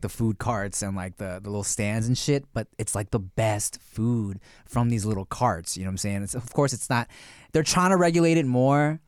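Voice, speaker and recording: A man's voice: lowish voice, clean tone, dry recording. A laid-back man co-hosting a podcast in casual chat, often saying "bro" and "like," on a close studio mic. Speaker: podcast co-host.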